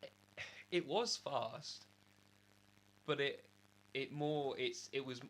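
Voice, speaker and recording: A man's voice: mid-range voice, slow pace, a bit bright, a little hiss or hum. A man from England co-hosting a podcast, talking casually with a slightly rattly voice after being ill, rambling with many restarts. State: just recovered from illness, rattly voice. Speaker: podcast co-host. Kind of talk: casual chat.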